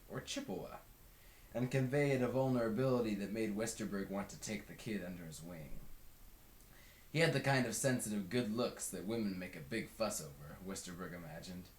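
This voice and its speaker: A man narrating a book aloud as a scripted read-along. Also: lowish voice. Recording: roomy, echoing home recording.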